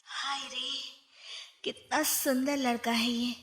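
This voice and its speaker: A woman's voice: high-pitched, little pitch movement, takes it slowly, fairly bright tone. A woman voicing a discontented young married daughter in a plaintive, dramatic way for a cartoon story. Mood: scheming, smitten.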